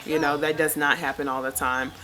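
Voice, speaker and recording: Low-voiced woman chatting frankly outdoors. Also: level tone, fairly quick, poor audio. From United States. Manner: chatty, frank, lots of fillers. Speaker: vlogger. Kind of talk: casual personal vlog.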